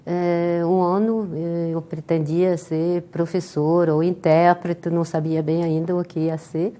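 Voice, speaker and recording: Older French-accented woman, mellow voice. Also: on the low side, measured pace. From France. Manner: calm storytelling with soft laughs. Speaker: guest. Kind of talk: TV interview.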